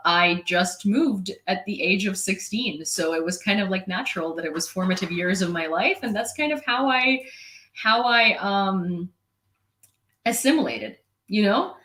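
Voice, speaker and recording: A young woman from Ukraine, a native Ukrainian speaker, answers a viewer comment on camera in a frank, sarcastic vlog monologue, with filler words and the odd curse. Her voice is bright and forceful, and the room around her echoes. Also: mid-range voice. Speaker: independent reporter and vlogger.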